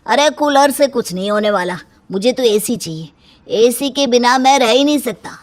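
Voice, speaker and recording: A woman plays a grumbling uncle from a comic animated story. The voice sits slightly high and whines with theatrical misery, forever complaining. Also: normal speed, lightly acted. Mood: grumbling, pleading. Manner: whiny, dramatic complaining. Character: grumbling, heat-stricken adult uncle at a wedding.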